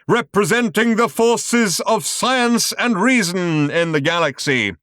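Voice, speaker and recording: A man voicing a bombastic showman orator from a galactic spectacle in a science fiction audiobook, grandiose, drawn-out and sentimental, with a thunderous delivery. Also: high voice, animated, fairly bright tone, dry recording, studio quality, voice pushed up, full character voice. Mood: triumphant, grand.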